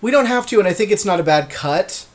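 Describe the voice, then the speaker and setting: Easygoing man with a higher voice. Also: expressive, background noise. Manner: easygoing, agreeable, quick back-and-forth. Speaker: podcast co-host. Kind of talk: casual deckbuilding chat.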